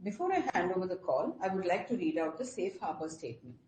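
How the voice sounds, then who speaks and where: Soft, dark-toned woman reading formally. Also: on the low side, sing-song, quick pace. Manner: formal, scripted delivery. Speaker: earnings call moderator from the company. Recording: roomy echo, faint background noise.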